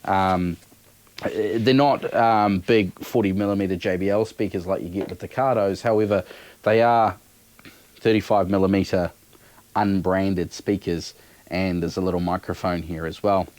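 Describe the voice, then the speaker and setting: A man's voice: sits low, fairly even intonation, moderate pace, a little mellow, no room sound. A man giving a casual, unscripted product review in an offhand, matter-of-fact way.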